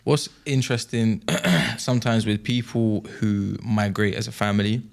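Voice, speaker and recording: A man hosting a podcast and interviewing a guest, soft-spoken, with frequent quiet acknowledgements. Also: fairly low pitch, steady tone, normal speed, creaky. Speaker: podcast host.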